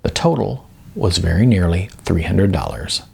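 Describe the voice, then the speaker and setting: Male audiobook narrator for fiction, husky and gravelly, low register, varied intonation. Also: sing-song, normal speed, mellow. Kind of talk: scripted audiobook narration of a novel.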